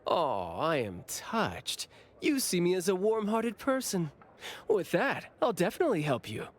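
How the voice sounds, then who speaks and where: Anime game officer, playful, teasing, drawn-out theatrical phrasing, lively swinging intonation. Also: mid-range voice. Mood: playful, breezy.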